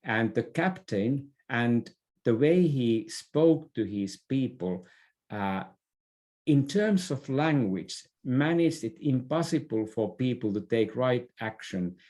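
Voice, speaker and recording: A man giving a conversational explanation as an interview guest, halting as he goes and often repeating or correcting his words. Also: mid-range voice, lively intonation, warm tone. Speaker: interview guest, leadership expert.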